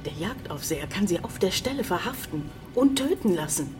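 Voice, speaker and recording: A woman's voice: fairly low pitch, sing-song, a little fast, music in the background. An actress in a radio drama playing a wary, blunt, superstitious woman who keeps a hotel. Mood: alarmed.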